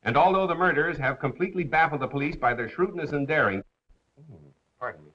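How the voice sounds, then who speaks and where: A man playing a confident, wisecracking crime columnist in a brisk, polished 1930s film acting style. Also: on the high side, sharp tone. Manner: brisk, self-assured. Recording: dull lo-fi 1930s film soundtrack.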